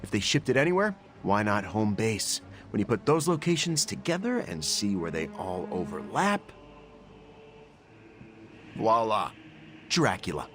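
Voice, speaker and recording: A man's voice: fairly low pitch, very animated, measured pace, pauses often, music in the background. A man voicing a brainy, casually confident journalist superhero in a video game, explaining things in a chatty, wry way. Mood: eager, wry. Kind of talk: video game cutscene acting.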